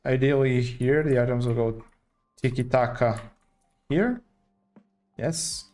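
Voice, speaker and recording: Young male streamer talking through his build, mid-pitched, faint music bed. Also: slow pace, a little mellow. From Portugal. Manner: thinking aloud while building, casual and chatty. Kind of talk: live gameplay commentary.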